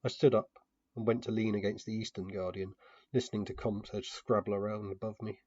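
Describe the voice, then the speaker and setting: A man's voice: on the low side, steady tone, brisk, a little mellow, no room sound. A man narrating a story dramatically in the first person, in a muffled home recording. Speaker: narrator of a fantasy fiction podcast.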